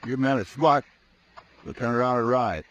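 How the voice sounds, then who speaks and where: A grim, hard-riding cowboy, as an actor plays him speaks in short, low lines in a 1950s radio drama. He sounds weary and serious. The old broadcast has a dull, muffled quality. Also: moderate pace, natural voice. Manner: terse, grim and low-voiced.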